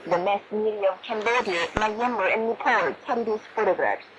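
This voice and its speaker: A woman radio announcer reading a formal, solemn news bulletin in a measured way, heard over shortwave radio. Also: mid-range voice. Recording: noisy shortwave radio reception with static. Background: shortwave static and noise.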